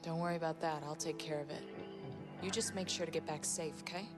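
A low female voice from an actress plays a tough but caring partner in a game drama. She is plainspoken and fondly bossy, with faint music underneath. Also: fairly even intonation, fast talker, close to an everyday voice. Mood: reassuring. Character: tough, caring woman, loyal partner and mother. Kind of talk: naturalistic video game cutscene acting.

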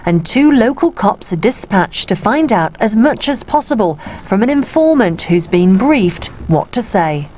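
A British woman reads scripted documentary narration at a middle pitch, calm and even. Clear background noise runs under her voice. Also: measured pace, few pauses.

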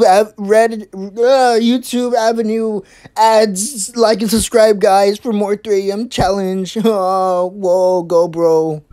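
A woman hosting a mocking reaction commentary, sarcastic and crude, swearing freely and stumbling over restarts. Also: a little mellow. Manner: sarcastic, swears a lot, mimics in squeaky voices. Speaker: host.

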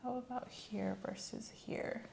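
Soft-spoken young woman, creaky ASMR examiner. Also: fairly high pitch, steady tone. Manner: gentle, measured, instructional. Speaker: medical examiner in an ASMR roleplay. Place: small room set up as an exam office.

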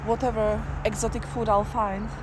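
A woman's voice: medium pitch, little pitch movement, normal speed. Chatty woman vlogging on a busy street. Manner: chatty, musing aloud with restarts. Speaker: walking tour vlogger. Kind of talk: casual walk-and-talk commentary. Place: busy shopping street outdoors. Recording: outdoor street noise. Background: loud traffic and street noise.

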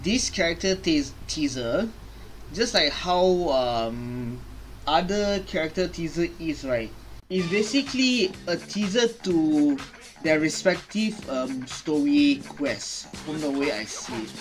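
Slow, halting young man with lively pitch. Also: faint music behind. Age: young adult. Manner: halting, thinking aloud. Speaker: gaming reaction video host. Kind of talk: casual reaction commentary. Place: small bedroom.

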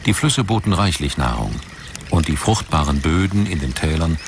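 A man narrating a scripted documentary in a measured, articulate manner. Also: rumbling low, animated, vocal fry, noisy background.

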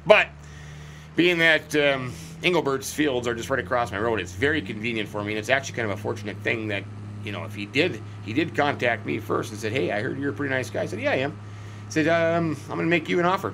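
A middle-aged man with a slightly hoarse, scratchy voice, rambling folksy commentary as he plays a farming game. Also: medium pitch, quick pace, a bit bright. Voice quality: scratchy, cracky throat. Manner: rambling, folksy, chatty asides. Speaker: let's play host.

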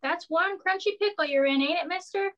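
A woman's voice: very high voice, brisk, fairly bright tone. An actress playing a brassy, folksy woman who runs a garage, in wisecracking 1940s radio ad style. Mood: folksy, chipper. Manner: folksy, wisecracking sales patter.

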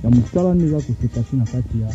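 A man's voice: medium pitch, fast talker, dull audio. Man, field reporter, outdoors, music behind. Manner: animated, switching between Lingala and French. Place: outdoors on open land.

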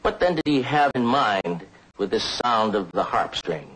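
An older man delivers a measured lecture for an educational film. His husky, low voice swings widely in pitch as he stresses his points with deliberate drama. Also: sing-song, fairly bright tone, poor audio. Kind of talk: measured scripted lecture to camera.

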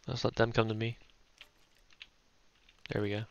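A young man giving relaxed, chatty gameplay commentary, thinking aloud as he plays. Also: on the low side, unhurried, creaky, highs cut off, slight background noise. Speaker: gaming YouTuber.

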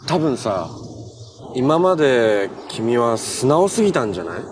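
A man's voice: mid-range voice, sing-song, unhurried. A man acting as a kind, easygoing young hero in natural television drama dialogue. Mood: gentle, encouraging. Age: young adult. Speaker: actor in a television superhero drama. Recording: noisy TV clip audio with ambience.

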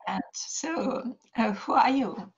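A woman's voice: on the high side, animated, slow pace, slight background noise. An older woman with a Russian accent, a writer and translator speaking in a video call, hesitating often as she searches for English words. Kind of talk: casual conversation over video call. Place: home study with bookshelves. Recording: dull lo-fi video call audio.

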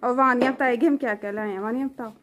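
A woman's voice: a little high, fairly even intonation, fast talker. A woman in her thirties, from Pakistan, chattily listing groceries one by one in a casual home vlog, filmed on a handheld phone. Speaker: vlogger. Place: home floor strewn with groceries. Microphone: handheld phone camera. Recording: noisy home phone recording.

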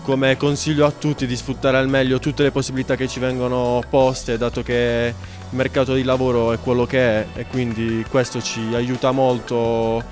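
A man's voice: medium pitch, level tone, normal speed. A young man, a student trainee, giving an interview answer into a handheld microphone indoors. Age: young adult. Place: classroom, indoors. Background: music.

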